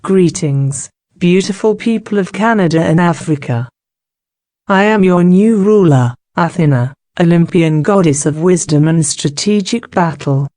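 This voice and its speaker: A woman's voice: on the low side, mellow, no room sound, clean studio sound, lightly acted. A woman playing a benevolent, dignified goddess of wisdom, speaking formally and with measure in a scripted drama.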